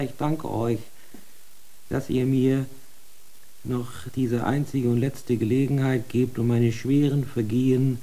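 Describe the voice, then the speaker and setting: A man acts out a broken, submissive prisoner confessing before the Inquisition in a radio drama. The voice is very mellow and dark, in a medium register, and moves slowly through formal, self-abasing phrases. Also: medium pitch, natural voice, rough recording. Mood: humble, grateful. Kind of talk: German radio drama acting.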